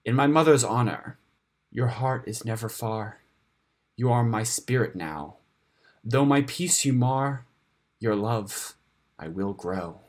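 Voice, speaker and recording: A solemn bear who leads a clan in a fantasy storybook , voiced by a man. The speech is formal and declamatory, husky and measured, weighted with grief. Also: medium pitch. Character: solemn, grieving bear clan leader seeking justice.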